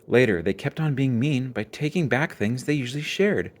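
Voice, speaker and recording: A man narrating a children's storybook aloud with expressive storytelling. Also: medium pitch, normal speed. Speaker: storybook reader.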